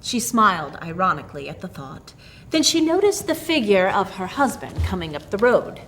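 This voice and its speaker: A woman storyteller reading a tale expressively, with dramatic, deliberate phrasing. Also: medium pitch, big pitch swings, fairly bright tone, slight background noise.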